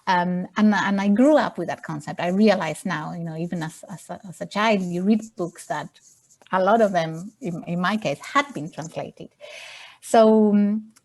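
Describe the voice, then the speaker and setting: A woman's voice: fairly low pitch, normal speed, a little mellow. A woman from Argentina, a publisher, speaking in an online panel discussion with many restarts and fillers.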